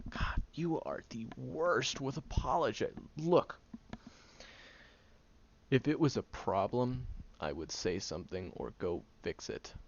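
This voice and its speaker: A man playing a prickly, guarded young loner who grudgingly cares, in an intimate one-sided audio roleplay, sardonic and hesitant with breathy murmurs. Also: medium pitch, expressive, takes it slowly, heavily acted. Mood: exasperated, defensive. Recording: muffled, lo-fi, noticeable background hiss.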